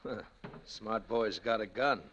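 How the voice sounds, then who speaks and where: An actor playing a sneering, unflappable tough who taunts with mock hospitality in an old radio crime drama. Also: male voice, on the low side, fairly bright tone. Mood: mocking, menacing. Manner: sarcastic, taunting, unhurried. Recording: dull, noisy vintage radio recording.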